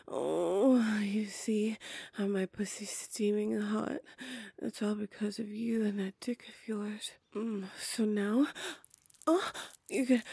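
A domineering female hellhound, voiced by a woman, purrs in a high, breathy half-whisper. Her tone is warm and mellow rather than bright, and she drags out every line slowly, teasing and commanding. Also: dry recording, heavily acted. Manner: slow, sultry, domineering teasing. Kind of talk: intimate audio roleplay.

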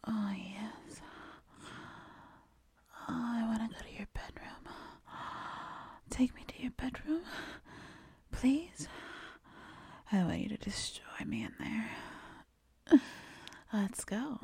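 A woman from the United States in an intimate erotic audio roleplay, sultry and sighing, with a breathy, mostly whispered voice close to the mic. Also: fairly high pitch, big pitch swings, warm tone, no echo. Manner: sultry, slow, sighing and moaning. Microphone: close mic, dry sound.

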